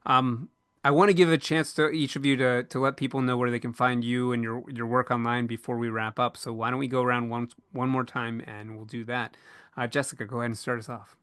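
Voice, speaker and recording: A man with a very bright, forward voice moderates a casual podcast panel. He is recorded close and dry, and he feels his way through sentences with frequent pauses mid-thought. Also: mid-range voice, flat delivery, clear, resonant tone, dry recording. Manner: halting, pausing often mid-thought.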